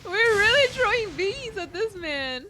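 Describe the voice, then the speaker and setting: A woman's voice: extremely high pitch, very animated, a little slow, heavy background noise. A woman giving casual let's play commentary, reacting in an informal, giggly, exclamatory way. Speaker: gaming streamer playing a story quest.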